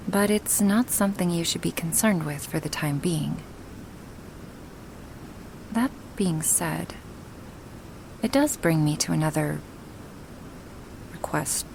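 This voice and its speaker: Woman acting as soft dragon woman, lowish creaky voice, breathy, hesitant, affectionate, ASMR roleplay. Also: slight background noise, rough recording. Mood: reassuring, shy. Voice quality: breathy, often slipping into whispers. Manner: slow, hesitant, with sighs and pauses. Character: gentle dragon woman, awkward, affectionate toward her human. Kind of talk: ASMR roleplay, spoken softly and intimately to the listener.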